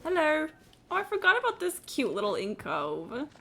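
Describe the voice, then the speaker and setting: A woman's voice: high-pitched, wildly swooping pitch, moderate pace, vocal fry, sharp tone. A woman giving casual, informal live commentary on a game she is playing, with easy swearing. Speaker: game streamer. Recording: game music behind voice. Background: video game music and dialogue.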